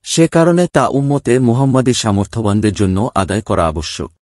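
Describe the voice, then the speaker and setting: A man reading a scripted religious narration in a formal, measured manner. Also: medium pitch, a little mellow, no room sound, studio quality.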